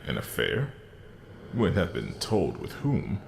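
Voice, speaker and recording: A man's voice: low voice, wildly swooping pitch, slow, creaky, full character voice. A man playing a sober, world-weary detective in an audio drama, recorded with reverb. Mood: probing. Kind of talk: audio drama acting and narration. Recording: reverberant room, faint background noise.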